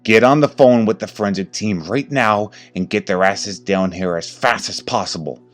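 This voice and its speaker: A man voicing a gruff, aged, commanding police detective in an urgent manner for a horror story. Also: on the low side, moderate pace, soft background music, voice pushed up, lightly acted.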